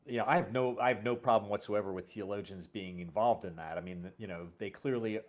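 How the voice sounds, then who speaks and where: Low-voiced man, halting conversational talk. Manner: halting, repeats words, often says "you know". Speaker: series creator and show host. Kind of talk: casual conversation about a fiction series.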